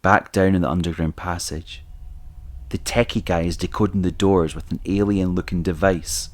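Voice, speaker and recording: Male narrator for audio drama, low-pitched, scripted storytelling. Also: fairly even intonation, normal speed.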